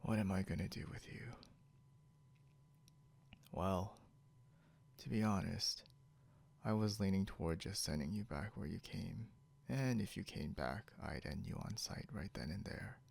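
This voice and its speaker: A man playing a grumpy, teasing, possessive young beast-eared hunter in an intimate ASMR roleplay, drawling drowsily and half whispering with a breathy, gravelly voice. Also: low voice, unhurried, pauses often, a little mellow, exaggerated voice. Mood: grudging, teasing.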